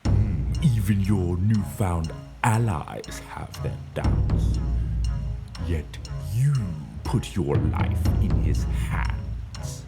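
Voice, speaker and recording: Radio drama villain: very low, slow, taunting and whispery, over loud music. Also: male voice, vocal fry, heavily acted. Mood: gloating menace. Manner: slow, drawn-out, taunting delivery with whispered menace. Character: menacing, gloating villain, old foe of a captive. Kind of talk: amateur radio drama acting.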